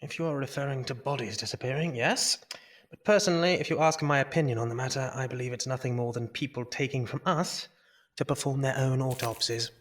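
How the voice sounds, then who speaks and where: An actor playing a brisk, dismissive Victorian doctor lecturing at a dissection in an audio drama, with emphatic pauses. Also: male voice, mid-range voice, lightly acted. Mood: confident, dismissive. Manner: theatrical, didactic, emphatic pauses.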